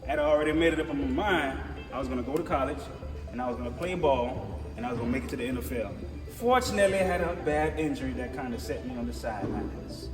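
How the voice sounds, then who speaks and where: Energetic man from Jamaica in an echoey hall. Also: mid-range voice, fast talker. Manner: energetic, casual storytelling, checks in with audience. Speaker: motivational speaker. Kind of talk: conference talk with call-and-response. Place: large conference hall. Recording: echoey hall recorded from far off with music.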